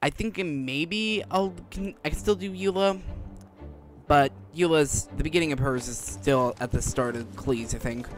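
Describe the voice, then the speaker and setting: A man giving casual, rambling commentary on a game playthrough, halting and often rephrasing himself. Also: a little high. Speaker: gaming video creator. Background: game music.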